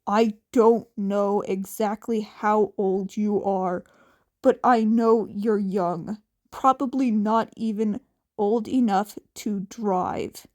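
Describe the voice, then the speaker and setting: A woman voicing a gruff, impatient, weary older male hero who speaks curtly and bluntly in an audiobook story. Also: mid-range voice, steady tone, takes it slowly, a little mellow, pitched below the performer's own voice, lightly acted. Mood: insistent. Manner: curt, blunt, insistent. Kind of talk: audiobook character acting.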